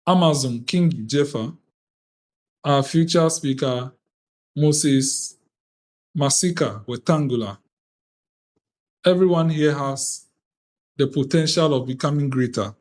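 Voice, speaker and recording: Measured Ghanaian man, slow and formal. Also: medium pitch, a little mellow. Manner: deliberate, measured, reads out lists of names. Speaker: convener of an online youth parliament. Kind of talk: formal ceremonial address. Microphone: video call.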